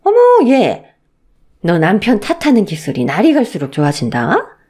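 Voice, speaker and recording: Woman as spiteful mother-in-law, older, scolding, sneering, highly animated, radio drama. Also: moderate pace, breathy edge. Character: spiteful, abusive older mother-in-law berating her daughter-in-law.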